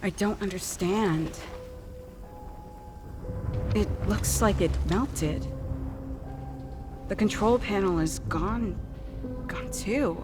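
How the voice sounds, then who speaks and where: A woman's voice: medium pitch, drawn-out words, pauses often, music in the background. An actress playing a tense, sardonic young female agent and scientist in a video game. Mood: focused.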